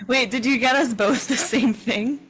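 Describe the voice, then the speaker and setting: A woman's voice: a little high, normal speed, a bit bright, poor audio. A young woman chatting casually in a wry, giggly, teasing way.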